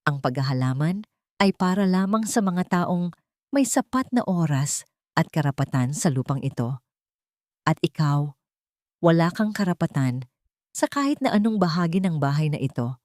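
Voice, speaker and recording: A woman's voice: low-pitched, expressive, normal speed, pauses often, a little mellow, dry recording. Lady narrator doing rich old matriarch, icy n scornful, bossy, audiobook drama, close dry sound. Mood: cold contempt. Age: older. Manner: cold, commanding, scornful. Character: cold, contemptuous elderly rich matriarch, haughty and cruel. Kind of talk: dramatic audiobook character reading.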